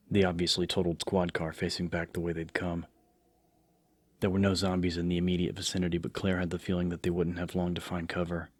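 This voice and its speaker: Very deep, even-toned male horror narrator. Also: dry recording. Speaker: audiobook narrator. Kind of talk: dramatic scripted horror narration. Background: background music.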